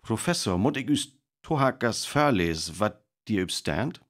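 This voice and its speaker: A man with a North Frisian accent playing an earnest live radio reporter in a radio play, announcing formally and deliberately. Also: lowish voice, big pitch swings. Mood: earnest, attentive.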